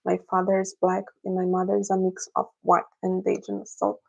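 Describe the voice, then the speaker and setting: A young Brazilian woman with a Portuguese accent, answering viewers casually on a livestream in careful, halting English. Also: on the low side, completely flat delivery, creaky, rough recording. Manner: halting, searching for English words. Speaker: livestream host.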